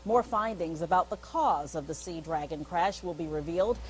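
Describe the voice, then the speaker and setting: A woman's voice: on the low side, moderate pace. A woman anchoring a television newscast, reading scripted news stories. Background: director's cues.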